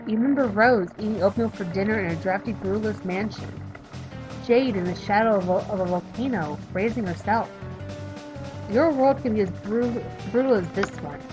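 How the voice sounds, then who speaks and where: A woman in her thirties reading a visual novel aloud and chatting about it, emphatic while reading and quiet when talking about herself. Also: medium pitch, normal speed, music in the background, poor audio. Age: 30s. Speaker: let's play streamer reading a visual novel. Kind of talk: reading game text aloud and commenting.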